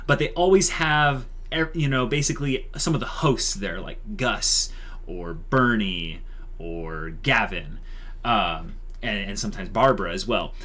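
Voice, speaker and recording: A man's voice: lowish voice, a little slow, sharp tone. A young man co-hosting a channel announcement, speaking casually and conversationally with plenty of likes and you-knows. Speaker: channel co-host.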